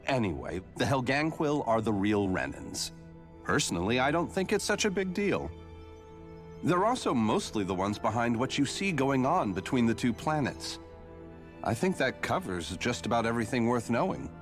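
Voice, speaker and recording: A man playing a calm, detached male scientist. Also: moderate pace, lightly acted. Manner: calm, matter-of-fact, lively pitch. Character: calm male scientist explaining grim history. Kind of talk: video game voice acting. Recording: game audio with music behind.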